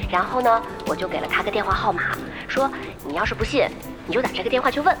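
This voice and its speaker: A woman's voice: a little high, expressive, fast talker, music in the background, close to an everyday voice. A woman playing a sweet, playful young gamer in an audio drama, breezy and mischievous. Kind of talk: Chinese audio drama acting.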